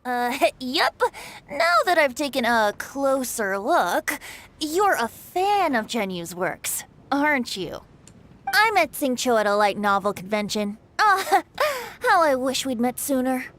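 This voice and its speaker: A woman voicing a carefree young male bard in a video game, airy and flowery in speech, quick to giggle. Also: high-pitched, big pitch swings, a bit bright, full character voice. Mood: playful.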